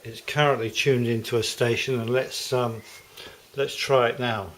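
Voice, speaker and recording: A man giving a casual, halting demonstration as a hobbyist restorer. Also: mid-range voice, steady tone, a bit bright, slight background noise. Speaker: vintage radio restorer demonstrating a set.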